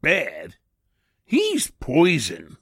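A man acts out a folksy, grumbling prison guard in an old-time radio play. The husky voice drawls slowly in rough working-class grammar, leaping widely in pitch. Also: huge pitch swings, fairly bright tone, no echo, studio quality, heavily acted. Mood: scornful, emphatic. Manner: drawn-out, ungrammatical working-class talk. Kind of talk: 1940s radio drama acting.

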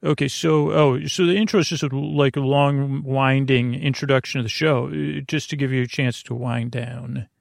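A man speaks with a gravelly, creaky voice at a medium pitch. He hosts a bedtime podcast in a rambling way, trailing off and circling back. The recording is dry and close. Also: steady tone, moderate pace, few pauses, dry recording. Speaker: podcast host.

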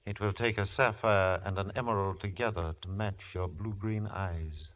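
An indulgent ancient king in a vintage radio drama. The voice sits fairly low, with suave, courtly and grandiose phrasing. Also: fairly even intonation, moderate pace, fairly bright tone. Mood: amorous, suave. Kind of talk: 1950s radio drama acting.

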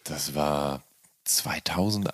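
A man's voice: a bass voice, slow, a bit bright, no echo, clean studio sound. A man hosting a casual conversational interview, speaking informally and often correcting himself as he goes. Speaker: interviewer, host.

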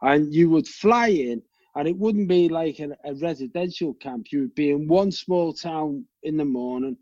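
A man with a Liverpool accent, a guest telling animated, rambling stories and swearing freely. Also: a little high, moderate pace, no echo, dull audio. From England. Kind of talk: casual storytelling chat.

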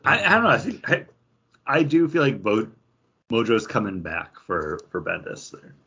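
A man co-hosting a podcast, chatting casually and enthusiastically with a slightly husky voice. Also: lowish voice, huge pitch swings, moderate pace, sharp tone, dull audio. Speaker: comics podcast co-host. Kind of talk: casual panel chat.

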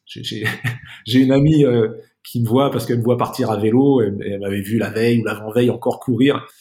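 A man's voice: medium pitch, steady tone, fairly quick, warm tone. A man speaking as a podcast guest in a casual, reflective interview, searching for his words and often repeating them.

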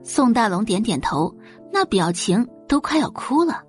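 A female audiobook narrator telling a dramatic story. Her voice is fairly high and lively in pitch, a touch husky, over quiet music. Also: unhurried. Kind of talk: scripted dramatic fiction narration.

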